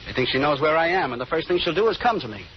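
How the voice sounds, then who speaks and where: A hard, lovesick gangster boss with a fairly high male voice that swings widely. He talks tough slang in a radio melodrama, on a muffled, hissy old recording. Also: sing-song, very fast. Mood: suspicious. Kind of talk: 1930s-40s radio drama acting.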